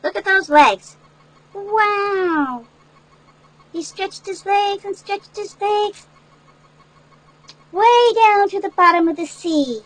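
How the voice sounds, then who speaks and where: An older woman with a high voice reads a storybook to young children. She breaks off for chatty asides, explains the lesson like a grandmother and keeps checking in with little questions. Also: slow pace, pauses often, rough recording. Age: older, great-grandmother. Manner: instructive, checks in with listeners through tag questions. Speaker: grandmother reading a picture book to children.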